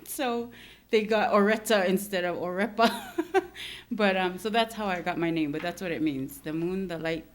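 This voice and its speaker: A woman in her thirties from Hawaii, a podcast guest talking softly and thoughtfully in a casual interview, with light laughs. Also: on the low side, normal speed.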